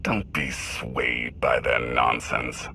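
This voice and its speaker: An actor playing an arrogant, scornful young warrior prince in a dramatic, measured, disdainful video game performance. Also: male voice, a bass voice, fairly even intonation, vocal fry, pushed and bright, slight background noise, full character voice. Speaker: villain prince.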